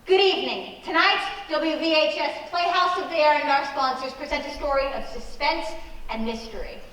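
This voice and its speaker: A woman's voice: high-pitched, moderate pace, a bit bright, a little hiss or hum. Woman playing a droll radio suspense host, echoey hall. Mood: ominous welcome. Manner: theatrical, mock-ominous, tongue-in-cheek. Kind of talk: old-time radio mystery host, scripted introduction. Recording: very echoey hall, distant mic.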